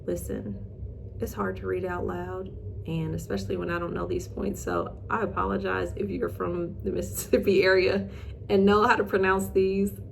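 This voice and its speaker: A woman's voice: on the low side, normal speed, vocal fry, background noise. A woman hosting in a casual, chatty way, mixing reading aloud with informal, self-deprecating asides. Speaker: host reading old newspaper articles.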